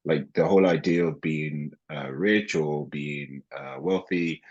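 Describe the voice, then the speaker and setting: A man's voice: rumbling low, measured pace, a little mellow, husky, dry recording. A man student adding thoughtful points to a class discussion, hesitant with fillers. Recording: muffled phone-like line.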